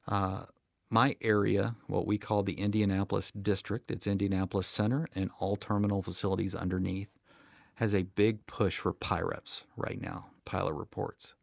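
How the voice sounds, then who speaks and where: Low-voiced American man with a gravelly edge. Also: dry recording, clean studio sound. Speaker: guest air traffic controller. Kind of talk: conversational podcast interview.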